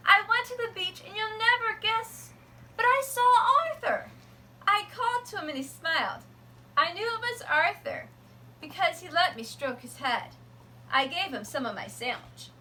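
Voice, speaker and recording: A woman teacher reading a picture book aloud to her students, gentle and expressive. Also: extremely high pitch, moderate pace, a little hiss or hum.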